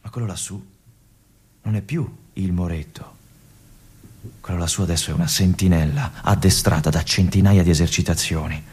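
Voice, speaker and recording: An actor playing a brooding male army lieutenant in a theatrical monologue, with an intense, hushed delivery and phrases that drop to a whisper. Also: sits low, fairly even intonation, moderate pace, pauses often, slightly rough. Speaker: stage actor.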